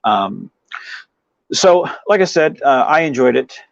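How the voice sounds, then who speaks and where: A man hosts a casual livestream, talking to his viewers in a loose, rambling way full of habitual filler phrases. His voice sits at an ordinary middle pitch. The audio is dry, with hardly any room around it, and a little dull and lo-fi. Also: very animated, slow pace, creaky, no echo. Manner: rambling, frequent "you know" verbal tic. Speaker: livestream host. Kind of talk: casual chat with live viewers.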